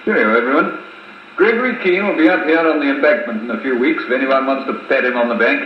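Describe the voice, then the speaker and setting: An actor playing an older, brisk, gentlemanly colonel telling a story in a 1950s radio drama, with clipped old-fashioned phrasing on an old wire recording. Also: male voice, medium pitch, close to an everyday voice. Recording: muffled, echoey, hissy 1950s wire recording.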